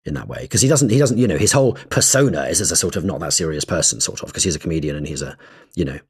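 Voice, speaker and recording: A man with a very low, husky voice, chatting as a podcast co-host. He talks in animated bursts, restarting sentences and leaning hard on key words. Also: very fast. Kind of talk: casual chat.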